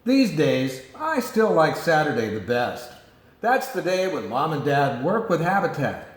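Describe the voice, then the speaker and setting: A man reading a children's picture book aloud in an animated storytelling style. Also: on the high side, a little slow, reverberant, rough recording. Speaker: storybook reader.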